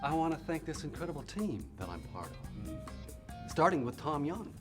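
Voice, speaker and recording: An actor playing a proud middle-aged team leader giving a halting, effusive speech of thanks in a film. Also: male voice, a little high, big pitch swings, music in the background. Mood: grateful, proud. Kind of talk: informal award acceptance speech, film acting.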